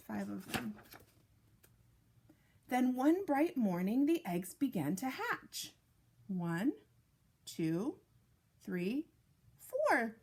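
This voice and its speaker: A woman reading a picture book aloud to young children, with deliberate, expressive phrasing and casual asides. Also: medium pitch, breathy edge. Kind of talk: read-aloud storytime narration with casual asides.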